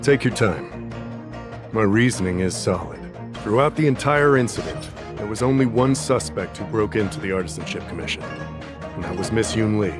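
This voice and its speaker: A man acting a low-voiced, self-assured official. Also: lively intonation, natural voice. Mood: confident, accusing. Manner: formal, deliberate, confident. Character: self-assured male official methodically laying out an accusation. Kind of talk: scripted video game dialogue acting. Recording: music behind the voice.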